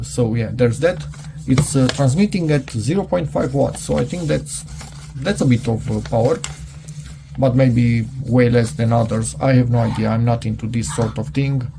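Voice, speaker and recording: A man reviews a product hands-on in a casual, unscripted way. His voice is mid-pitched with a lot of gravelly fry, and he speaks haltingly, as if working things out aloud. There is clear noise in the recording. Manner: hesitant, halting, muttering to himself.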